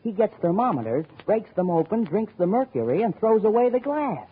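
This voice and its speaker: An animated radio lunatic, high-ish. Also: male voice, fairly quick. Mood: manic. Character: crazy escaped lunatic posing as a nurse, eccentric. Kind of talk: 1940s radio comedy acting. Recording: tinny, noisy old radio recording.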